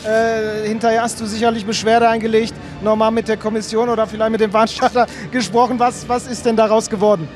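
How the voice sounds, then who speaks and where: A man's voice: sits high, little pitch movement, quick pace, hardly any pauses. A man interviewing a fighter, asking friendly questions into a handheld mic.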